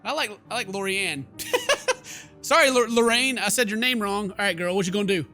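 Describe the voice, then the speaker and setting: A man's voice: high voice, moderate pace, pushed and bright. A man hosting a gaming video, reading story text aloud and commenting in a jokey, theatrical way. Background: game music.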